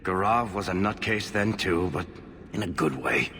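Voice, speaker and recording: An actor playing a roguish dwarf adventurer in a video game, sardonic and wisecracking. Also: male voice, low-pitched, normal speed, fairly bright tone, breathy edge, long reverb tail. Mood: rueful. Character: wry, roguish male dwarf adventurer and storyteller. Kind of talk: conversational video game acting.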